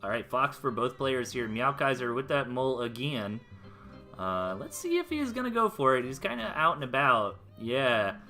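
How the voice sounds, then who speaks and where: An American man gives casual live commentary on a game. His voice is very bright and forceful at a medium pitch, and he explains plays in loose, slangy, conversational language. Guitar music plays behind him. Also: big pitch swings, moderate pace. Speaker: esports replay caster.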